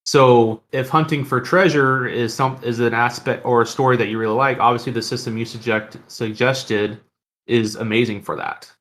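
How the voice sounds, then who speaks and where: A man's voice: medium pitch, steady tone, normal speed, fairly bright tone. A man talking as a podcast host, explaining his view in a casual, conversational way.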